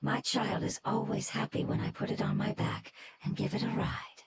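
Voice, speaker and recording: A woman voicing an ancient, gentle, wise female forest spirit in a video game, with a soft airy voice and formal, explanatory phrasing. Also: mid-range voice, little pitch movement, normal speed, very noisy, natural voice. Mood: serene, gentle. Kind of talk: video game character acting. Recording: clean voice under loud music. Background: loud game music.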